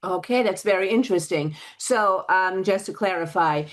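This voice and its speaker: A woman co-hosting a casual interview, speaking in a measured, clarifying manner. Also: medium pitch. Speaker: interview co-host.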